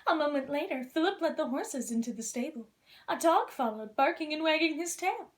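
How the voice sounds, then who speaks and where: A woman reading a children's storybook aloud in an expressive, gentle princess persona. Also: high voice, fairly quick, slight background noise.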